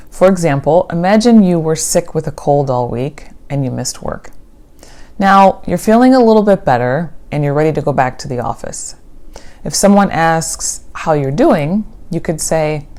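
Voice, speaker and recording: An American woman who is an English teacher, giving a clear, scripted vocabulary lesson and reading example sentences. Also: low voice, dark tone. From United States.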